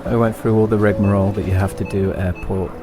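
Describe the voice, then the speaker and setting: A middle-aged English man tells an autobiographical story in a reflective way, phrasing it deliberately in short lines. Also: lowish voice, steady tone. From England. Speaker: podcast host and narrator. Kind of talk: autobiographical storytelling, scripted narration. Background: instrumental music.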